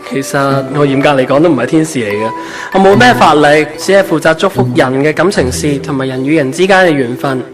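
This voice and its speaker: A man's voice: on the high side, animated, fast talker, hardly any pauses, lightly acted. A man playing a kindly, easygoing ancient saint of love in a Hong Kong Cantonese radio drama. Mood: kind, probing. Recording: background music under the voice.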